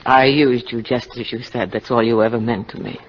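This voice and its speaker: An actress playing a cold, seductive, scheming murderess with intense melodrama in a 1950s radio drama. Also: female voice, very deep voice, steady tone, a little fast, slight background noise. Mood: pleading, tender. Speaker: actor. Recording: dull old radio recording.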